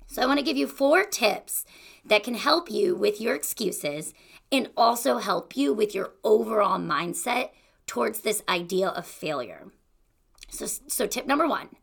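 Young adult woman, podcast host, casual encouraging monologue, gravelly fry in the voice. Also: mid-range voice, a bit bright. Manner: chatty and encouraging, with fillers like "you know" and "like".

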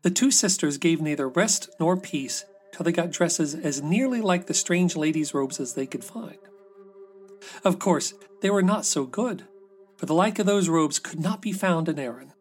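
A man's voice: on the high side, normal speed. A man narrating a fairy tale as a scripted storybook reading, with music behind him. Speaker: storybook reader.

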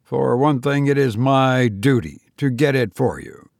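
Guy reading as a grave fearful man in love, slow and halting, audiobook style, very dry sound. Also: mid-range voice, gravelly, a little mellow, dry recording. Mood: grave, insistent. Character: brooding, fearful man, tender toward his sweetheart. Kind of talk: audiobook character voice.

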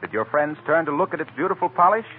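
A man's voice: a little high, animated, fast, slight background noise. A man announcing a scripted commercial in 1940s radio advertising style. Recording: narrow-band old radio broadcast.

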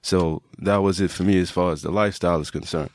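A man's voice: sits low, steady tone, fast, no echo. A man from Trinidad, a fitness coach and podcast guest, telling his own story in a casual, reflective, conversational way.

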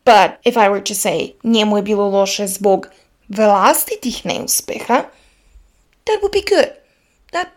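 Woman teaching grammar. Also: on the high side. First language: Serbian. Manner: measured, explanatory, switches into Slavic example phrases. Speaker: podcast host teaching linguistics. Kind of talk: scripted explanatory lesson.

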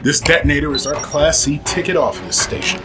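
A man's voice: a little high, a little fast, close to an everyday voice. A man playing a cocky, unhinged rogue outlaw in a sci-fi audio drama, sardonic, menacing and theatrical. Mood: manic, defiant. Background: music.

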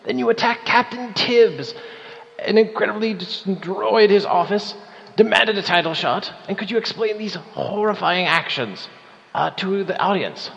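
A man's voice: high-pitched, animated, unhurried, vocal fry, a bit bright, voice pushed up, heavily acted. Pompous mock-serious male interviewer, a man's parody, bad put-on accent, raised voice, dull reverberant sound. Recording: dull audio in echoey hall.

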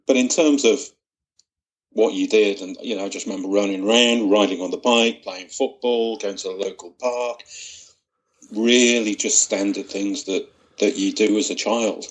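A man's voice: on the low side, steady tone, normal speed, poor audio. A middle-aged man from Widnes, reminiscing as a guest with lots of restarts and small chuckles. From Widnes, North West England. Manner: hesitant, many restarts, chuckles. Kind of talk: casual reminiscing interview.

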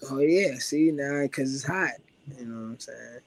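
A young man from the D.C. area with a raspy voice, speaking at an ordinary mid pitch. He is a rapper chatting casually in an interview, laid-back and loose, leaning on filler phrases all the time. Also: normal speed. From Washington, D.C. area. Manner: laid-back, constant "you know what I'm saying" fillers.

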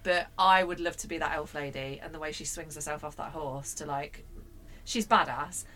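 A woman co-hosting a podcast, chatting casually, self-mocking and quick to laugh, with the odd swear. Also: low-pitched, a bit bright. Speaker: podcast co-host. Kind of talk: casual chat.

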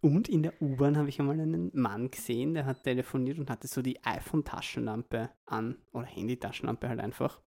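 Gravelly Viennese man chatting. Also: mid-range voice, steady tone, fairly quick, hardly any pauses, mellow. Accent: Austrian, Viennese. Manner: rambling storyteller, laughs mid-sentence. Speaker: podcast co-host. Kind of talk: casual chat.

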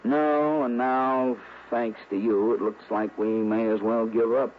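A man's voice: medium pitch, steady tone, a little slow, lightly acted. An actor playing a brash, friendly New York police lieutenant who ribs his pal colloquially in a 1960s radio drama. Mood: exasperated. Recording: muffled old radio recording, faint noise.

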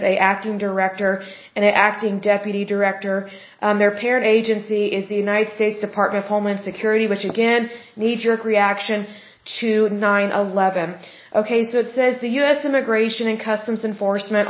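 A woman hosting a solo podcast in a casual, opinionated way, her voice a bit hoarse as it recovers, with frequent ums. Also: medium pitch, fairly even intonation, brisk. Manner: opinionated, conversational, many ums. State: recovering hoarse voice, clearing throat. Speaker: podcast host. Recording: roomy, dull lo-fi audio.

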